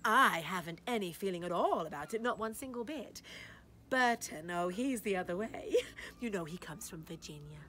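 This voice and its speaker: A woman's voice: medium pitch, expressive, moderate pace, fairly bright tone, slight background noise, lightly acted. A woman voicing a gushing, condescending society lady at a party, breathless and fluttery, with giggles and gasps, in a dramatic short-story reading.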